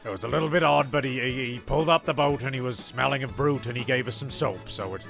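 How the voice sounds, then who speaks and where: A man with a very creaky, mid-pitched voice hosts over music. He rambles colloquially and mock-seriously, stumbling as he narrates a comedy show. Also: fast. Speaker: host and narrator.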